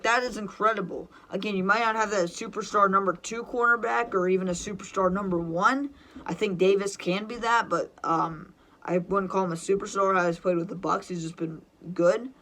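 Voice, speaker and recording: This is a sports fan hosting their own football draft show, with a bright, forward voice. The delivery is opinionated and enthusiastic, in the style of unscripted pick-by-pick commentary. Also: medium pitch.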